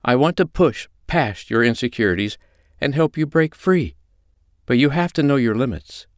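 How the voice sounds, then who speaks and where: A man's voice: mid-range voice, expressive, normal speed, no echo, clean studio sound, pitched above the performer's own voice, natural voice. A man voicing a firm, commanding but reassuring mistress in an audiobook story. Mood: calm, reassuring. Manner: firm, instructing, soothing. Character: commanding, reassuring adult woman, a dominatrix mistress.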